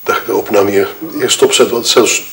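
Low-voiced Flemish husband, played by a male actor, blunt and halting, grieving and resentful, for an audio mystery game; noisy, clicky recording. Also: steady tone, close to an everyday voice. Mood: irritated, disdainful. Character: resentful, grieving husband giving a reluctant murder statement. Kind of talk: acted testimony in an audio murder-mystery game.

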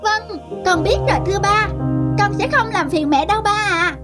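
A woman's voice: extremely high pitch, normal speed. A woman voicing a polite, shy little boy in a cartoon family drama. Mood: obedient. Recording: music behind.

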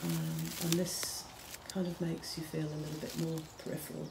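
A woman in her forties, an artist, describing her short films haltingly, with many pauses and fillers. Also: low-pitched, level tone, gravelly, noisy background. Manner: hesitant, many ums. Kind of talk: casual explanation of her films. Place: room with bare block walls. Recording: echoey room.

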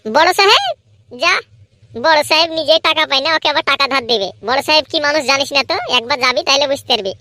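A woman's voice: high-pitched, lively intonation, fast talker, sharp tone, no room sound. A woman voicing a sly, swaggering young troublemaker boy in a comedy cartoon, cocky and dismissive. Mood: mocking. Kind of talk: Bengali comedy cartoon voice acting.

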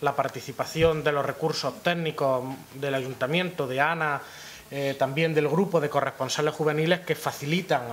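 Higher-pitched young Andalusian man. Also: a little hiss or hum. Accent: Andalusian Spanish. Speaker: regional youth official. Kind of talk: formal press conference speech. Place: indoor press room at town hall. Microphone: gooseneck table microphone.

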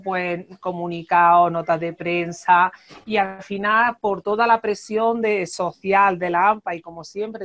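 A woman in her thirties hosting a video-call interview, framing her questions hesitantly with restarts and tag questions. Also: medium pitch. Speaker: host. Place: room with plants and a window. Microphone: wired earphone mic on a video call. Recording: dull lo-fi video call audio.

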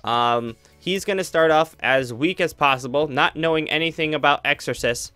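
A man's voice: a little high, normal speed, sharp tone, smooth, no room sound, faint music behind. A young man casually rambling through a channel update for his viewers, upbeat and full of fillers and restarts. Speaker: YouTuber. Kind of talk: casual channel update while flying over a game world.

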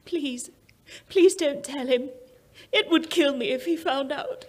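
A woman's voice: high voice, slow pace. A woman playing an earnest, lovelorn lady in an old-time radio drama, emotional and melodramatic. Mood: distressed, pleading. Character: earnest, lovelorn lady pleading to keep secret. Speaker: amateur radio theatre actor. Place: small indoor museum stage. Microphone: standing vintage-style stage microphone.

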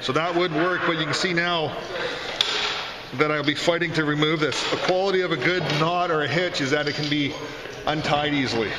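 A man's voice: on the high side, a little fast. A man giving a hands-on tutorial as an instructor, explaining step by step. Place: workshop with concrete floor, indoors. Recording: echoey room, loud background noise, clicks.

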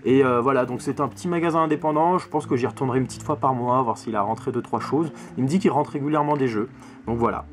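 Young adult male, mid-pitched, emphatic conversational delivery for a casual collector's vlog. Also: fast, a little hiss or hum. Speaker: video game collector and YouTube host. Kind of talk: casual video chat to camera. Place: small bedroom full of games, indoors. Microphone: camera mic at arm's length.

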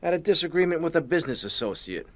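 Cocky, animated gangster. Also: male voice, fairly high pitch, rapid-fire. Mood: bitter, terse. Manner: sardonic, tough, clipped. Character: cocky, sardonic male gangster, cold-blooded killer.

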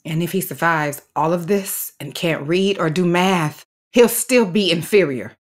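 An actress playing an earnest, firm schoolteacher in an audio drama, measured and direct, pleading but principled. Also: female voice, lowish voice, close to an everyday voice. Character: earnest, firm, dedicated woman elementary school teacher. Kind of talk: naturalistic audio drama acting.

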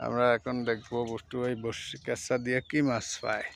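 A man's voice: medium pitch, flat delivery, brisk, gravelly. A man hosting a casual fishing vlog, chatting and commenting outdoors. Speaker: host filming a fishing vlog. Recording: outdoor recording with birdsong and noise. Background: birdsong, faint ambient noise.

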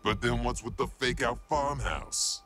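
A man's voice: medium pitch, a little slow. A man playing a laid-back, baffled sidekick in an audio drama, slangy and incredulous. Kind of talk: animated audio drama acting. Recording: loud music behind.

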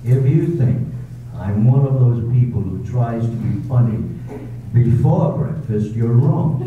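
A man playing a brusque rich gentleman. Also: mid-range voice, lively intonation, a little slow, phone-quality sound, slight background noise, lightly acted. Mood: teasing. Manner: dry, teasing, curt. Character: brusque wealthy older gentleman proposing. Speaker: amateur readers theater actor. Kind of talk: staged radio drama reading. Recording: echoey hall, far from mic, phone-thin sound.

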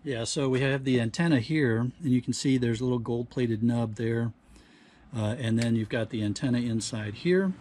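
A man giving casual hands-on demonstration commentary, halting and thinking aloud as he works at a workbench. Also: mid-range voice, darkish tone. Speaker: host of a scrapping how-to channel. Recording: clear background noise, handling clicks.

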